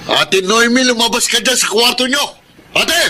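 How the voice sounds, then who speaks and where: An actor playing a hot-headed, defiant young husband and younger brother, brusque and combative, in a Filipino radio drama. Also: male voice, very high voice, normal speed, pushed and bright, exaggerated voice. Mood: angry. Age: young adult.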